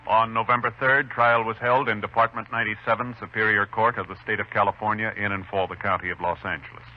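A man's voice: on the low side, little pitch movement, fast. A man reading formal scripted narration as a 1950s radio announcer. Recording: muffled old radio recording. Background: music.

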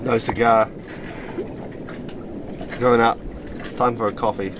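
A man's voice: mid-range voice, level tone, slow, noisy background. A man making casual banter outdoors on a boat. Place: outdoors on a sailboat at sea. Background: music and boat sounds.

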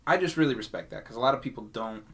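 A man hosts a podcast in a casual, chatty style. His voice sits at a middling pitch and moves like everyday conversation. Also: expressive, very fast, a bit bright. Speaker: podcast host.

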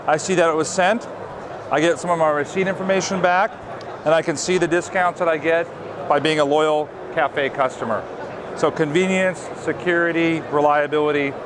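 A man in his thirties gives a polished, upbeat product demo as a marketing director, recorded by a nearby camera on a busy trade show floor. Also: noisy background. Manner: upbeat, polished sales pitch. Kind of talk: scripted product walkthrough.

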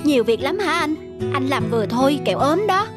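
A woman's voice: sits high, expressive, a little fast. Woman voicing cartoon dialogue over music. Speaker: voice actor. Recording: music playing behind.

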